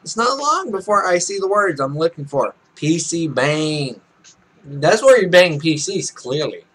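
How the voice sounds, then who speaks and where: Man, twenties, bright voice, slightly high pitch, Let's Play reading, casual joking asides. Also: poor audio. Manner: joking, self-mocking asides. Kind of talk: reading game text aloud with casual commentary. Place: small room at home.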